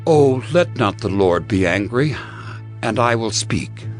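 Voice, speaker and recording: A man's voice: lowish voice, lively intonation, slow pace, faint music behind. A man playing a humble old biblical patriarch, beseeching and deferential in a dramatized scripture reading. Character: humble old patriarch Abraham, reverently bargaining with God.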